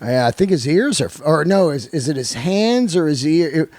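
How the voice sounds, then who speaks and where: Higher-voiced American man, slangy podcast host. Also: lively intonation, moderate pace, a little mellow, no room sound. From San Francisco, United States. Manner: slangy, swears freely. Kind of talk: casual profane conversation.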